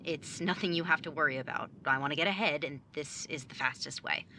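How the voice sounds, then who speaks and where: A woman's voice: deep voice, fairly quick, bright, forceful tone, a little hiss or hum. A young woman playing a sarcastic, blunt nineteen-year-old younger sibling in a naturalistic audio drama. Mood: defensive, weary. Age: young adult. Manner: sardonic, blunt, casual.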